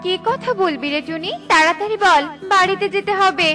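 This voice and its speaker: A woman voicing a boastful, stingy neighbour bird in a children's cartoon, brisk in manner. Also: very high voice, over music. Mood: dismissive.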